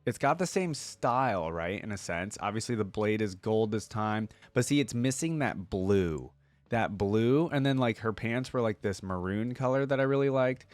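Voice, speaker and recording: A man's voice: lowish voice, lively intonation, moderate pace, dry recording, faint music behind. A man giving casual reaction commentary as a video host, thinking aloud in informal, slangy language.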